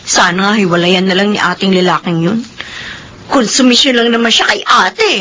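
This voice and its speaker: An actress playing a plaintive young pregnant elder sister in a Filipino radio drama, with a Filipino accent. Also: female voice, medium pitch, normal speed, pushed and bright, a little hiss or hum, close to an everyday voice. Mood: weary grumbling.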